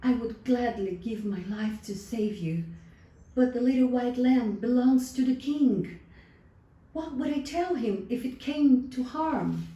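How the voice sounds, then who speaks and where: A woman's voice: on the high side, a little slow, warm tone, pitched above the performer's own voice, natural voice. A woman voicing an honest, humble male shepherd, earnest and deferential, in a folktale. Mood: distressed, torn. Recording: distant, roomy sound with faint noise.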